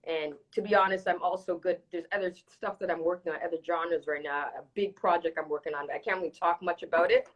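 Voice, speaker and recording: A woman's voice: on the low side, steady tone, quick pace, hardly any pauses, highs cut off. A woman from Toronto speaking candidly as a singer being interviewed, emphatic and given to repeating phrases for emphasis. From Toronto, Canada. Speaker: reggae dancehall singer, interview guest. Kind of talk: casual live interview chat.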